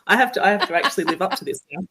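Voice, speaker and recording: A woman's voice: mid-range voice, rapid-fire, fairly bright tone. An Australian woman in her forties talking casually and candidly as an interview guest, with a wry, joking manner. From New South Wales, Australia. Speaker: interview guest, romance author.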